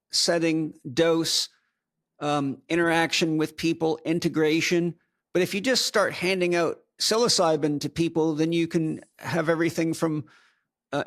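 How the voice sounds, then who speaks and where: A man hosting a podcast, thinking aloud and building long ideas with hesitations in a conversational interview. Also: on the high side. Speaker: podcast host.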